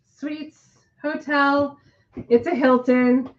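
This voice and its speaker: A woman co-hosting a casual live chat, rambling with many ums and restarts, her voice hoarse and nearly gone. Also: high voice, steady tone, drawn-out words, muffled recording, a crowd in the background.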